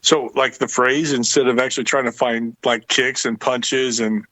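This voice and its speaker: A man's voice: mid-range voice, fairly even intonation, normal speed, pushed and bright, no echo, poor audio. A middle-aged or older man co-hosting a podcast in casual conversation. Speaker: podcast co-host. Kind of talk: casual chat.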